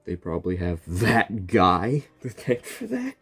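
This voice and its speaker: A man's voice: on the low side, wildly swooping pitch, measured pace, a bit bright, breathy edge, faint music behind. A man giving casual, joking reaction commentary as he plays a game, with slangy exclamations. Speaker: gaming streamer.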